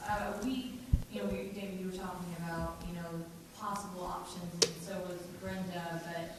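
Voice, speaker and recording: A woman's voice: lowish voice, steady tone, moderate pace. Woman council member heard from far off. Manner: earnest, pressing her point. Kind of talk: council meeting discussion. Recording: distant, very echoey, noisy.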